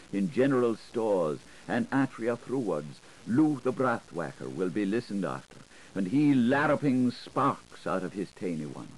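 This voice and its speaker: A man narrating a literary audiobook in a theatrical, deliberate, incantatory manner, playful with the wordplay, on a 1980s recording. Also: fairly low pitch, a little mellow, slight background noise, low-quality recording.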